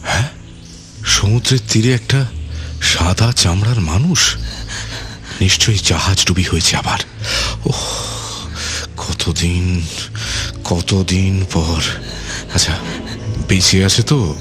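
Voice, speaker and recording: An actor playing a controlling middle-aged island overlord in a radio play, deliberate and courteous with menace, repeating phrases with relish. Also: male voice, deep voice, a bit bright, faint music behind. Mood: eager, commanding.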